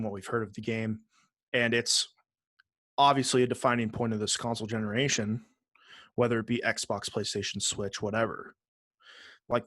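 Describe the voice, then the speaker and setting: A man's voice: lowish voice, fairly bright tone, no echo. A man co-hosting a podcast, chatting casually with some swearing as he runs through a ranked list. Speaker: podcast co-host. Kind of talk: casual chat, reading out a ranked list with commentary.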